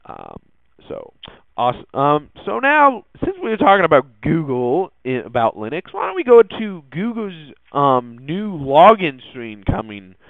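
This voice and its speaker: A man hosting a casual tech podcast in a hesitant, halting way, with frequent ums and false starts, on muffled lo-fi audio. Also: on the high side, wildly swooping pitch, vocal fry, no echo. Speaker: podcast host.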